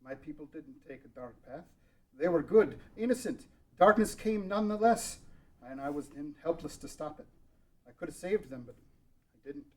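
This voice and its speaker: A man's voice: on the high side, animated, normal speed, darkish tone, close to an everyday voice, low-quality recording. A man reading a guilt-ridden, regretful ruler of a doomed land in a table read of a fan script. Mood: regretful, somber.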